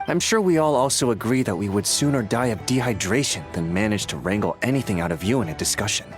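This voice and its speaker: Man acting a lively-pitched, reluctant young guardian. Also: fast, soft background music, close to an everyday voice. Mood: reluctant, resolved. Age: young adult. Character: modest, reluctant but dutiful young male Lightkeeper. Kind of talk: video game voice acting.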